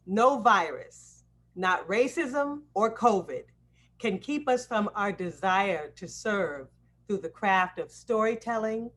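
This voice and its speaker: A woman giving a welcome address as host on a live video call, with deliberate, emphatic phrasing. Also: medium pitch, fairly bright tone. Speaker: acting coach hosting a student presentation.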